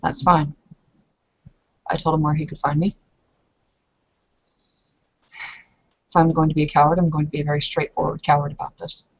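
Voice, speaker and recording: A woman playing a guarded, resigned young married woman in a roleplaying game, speaking in a formal, measured, stiffly controlled way. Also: low voice, level tone, no room sound, lo-fi sound. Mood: stubborn, resigned.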